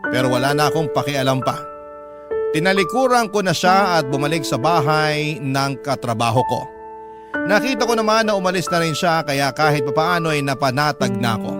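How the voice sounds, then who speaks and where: A man's voice: fairly high pitch, expressive, normal speed. A man hosting a radio show, narrating a listener's letter as a dramatic first-person story. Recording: prominent music under the voice. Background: background music.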